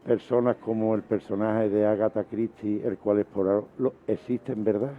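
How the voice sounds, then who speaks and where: A man's voice: lowish voice, steady tone, moderate pace, phone-quality sound, slight background noise. A man talking casually as a panelist in a radio discussion.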